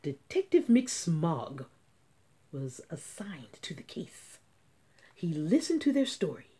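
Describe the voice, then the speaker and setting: A woman reading a storybook aloud for children, dramatic and deliberate, with hushed drops and sudden emphasis. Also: low-pitched, very animated, breathy edge.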